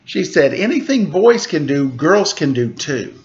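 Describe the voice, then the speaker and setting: A man's voice: on the high side, measured pace, lo-fi sound. Man reading a rhyming children's story. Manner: sing-song rhyming delivery with lively voiced quotes. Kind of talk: rhyming picture-book read-aloud.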